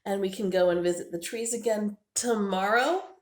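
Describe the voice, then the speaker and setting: A woman voicing a small, excitable and tender squirrel in a children's storybook, with expressive, exclamatory delivery. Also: mid-range voice, moderate pace, close to an everyday voice. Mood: curious. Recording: roomy, echoing indoor sound.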